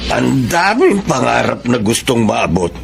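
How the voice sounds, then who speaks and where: Radio drama dad: caring older Filipino father, creaky voice, faint noise behind. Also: mid-range voice, big pitch swings, a little slow, heavily acted. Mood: caring, proud.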